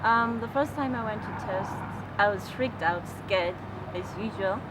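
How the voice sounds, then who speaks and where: A woman's voice: medium pitch, unhurried. A young woman from Botswana speaks plainly, ticking off points one by one. Heavy traffic noise fills the background outdoors. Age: 20s. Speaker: interviewee. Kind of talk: personal testimony. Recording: loud street traffic behind.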